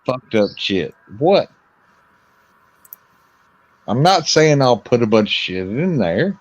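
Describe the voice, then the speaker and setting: A man's voice: mid-range voice, big pitch swings, slow pace, muffled recording. A man of about fifty in casual group chat, joking and swearing. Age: 50s. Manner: joking, profane.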